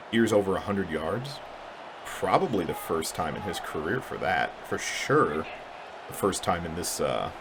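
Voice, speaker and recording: A man's voice: low-pitched, background noise. A man giving casual, mumbly, self-deprecating commentary while playing a video game. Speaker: gaming channel host. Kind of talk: casual let's-play commentary.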